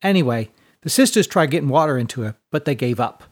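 A man voicing a perky, blunt orphan girl in a storybook, irreverent and slangy with rough grammar. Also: mid-range voice, animated, fast, close to an everyday voice. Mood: blunt, offhand.

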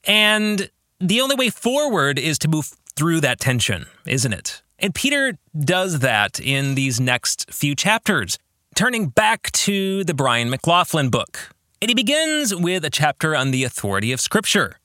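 A man hosting a podcast, giving reflective book club commentary in a deliberate way and putting rhetorical questions to the listener. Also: fairly high pitch, sing-song, bright, forceful tone, dry recording, studio quality. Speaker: podcast host and author.

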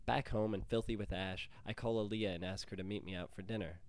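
A man's voice: fairly low pitch, fairly even intonation, a little fast, a little mellow. A man reading his own first-person short story as scripted narration.

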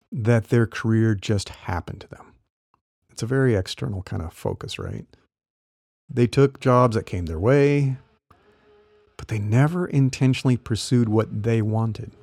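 A man who works as a career coach, talking through ideas in a relaxed, explanatory way with small laughs, his voice a little worn as he says he is losing it. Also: medium pitch, measured pace, creaky, no room sound. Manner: conversational, explanatory, light laughs. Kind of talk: solo podcast talk.

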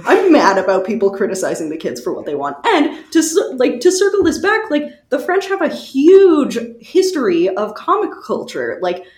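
Animated woman in a roomy space. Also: sits high, moderate pace, few pauses. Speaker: podcast co-host. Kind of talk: casual opinionated panel chat.

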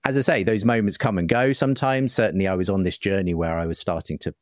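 A middle-aged man with a low voice speaks as an interview guest. He explains things reflectively and earnestly, in articulate, discursive sentences, and the sound is dry and close. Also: steady tone, fast talker, no room sound. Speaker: interview guest, writer and broadcaster.

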